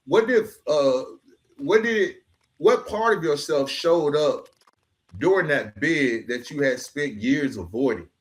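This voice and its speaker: A man's voice: on the high side, expressive, slow pace. A man hosting a reflective long-form interview, mixing conversational street slang with rhetorical, motivational phrasing. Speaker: podcast host.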